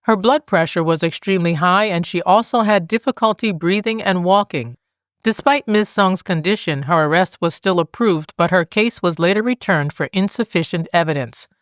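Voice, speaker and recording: A woman reading scripted news in a measured, formal manner. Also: lowish voice, no room sound, clean studio sound. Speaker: news reader.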